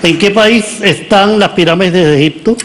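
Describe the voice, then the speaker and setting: A man's voice: sits high, normal speed, noisy background. A man judging a televised pageant, quizzing contestants in a teasing way and calling them darling. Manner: teasing, calls contestants "mi amor". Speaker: judge on a pageant show. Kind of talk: quiz questioning of contestants. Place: TV studio stage with live audience. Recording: loud music and crowd behind.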